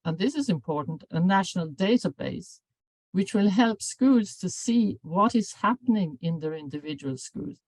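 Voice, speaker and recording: An older woman, a professor, giving an academic seminar lecture in a measured, formal way, often correcting herself mid-sentence. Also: on the low side, no echo, low-quality recording. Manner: measured, formal delivery with frequent self-corrections. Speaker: professor and anti-bullying researcher.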